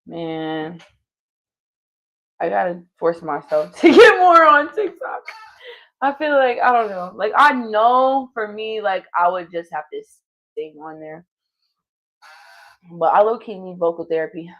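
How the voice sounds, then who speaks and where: A woman streamer chatting on her live show, talking loose and slangy and cursing freely. her pitch sits in an ordinary middle range for a woman, and she sounds like a singer relaxing between songs. the tone is easygoing and conversational. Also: animated, measured pace, pauses often, a bit bright, highs cut off. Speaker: streamer host. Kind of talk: casual stream chat.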